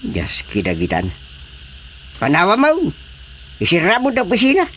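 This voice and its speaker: A man's voice: high-pitched, huge pitch swings, moderate pace, a bit bright, a little hiss or hum, exaggerated voice. An actor with a Filipino accent playing a bossy, exasperated older village chairman in a radio comedy drama. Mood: irritated, bossy. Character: grumbling, bossy male village chairman, a father.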